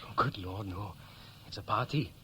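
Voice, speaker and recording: A man's voice: fairly low pitch, normal speed, bright, forceful tone. An actor playing a restless, evasive young Welshman in a 1960s radio play, speaking in a hesitant, colloquial way. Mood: casual, animated. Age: young adult. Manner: hesitant, colloquial, self-correcting. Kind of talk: 1960s radio play acting, naturalistic dialogue. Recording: noisy vintage 1960s radio recording.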